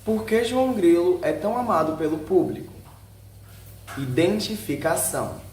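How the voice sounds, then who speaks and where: A man's voice: high-pitched, lively intonation, moderate pace, darkish tone. A Brazilian man reading a scripted narration aloud in short headed sections, occasionally stumbling over a word. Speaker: video narrator. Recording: roomy echo with faint background noise.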